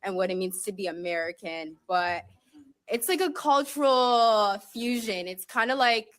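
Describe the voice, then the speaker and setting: A woman speaking in a casual clip, hesitating often and filling pauses with "um" and "uh". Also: medium pitch, lively intonation, fairly bright tone. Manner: hesitant, many fillers.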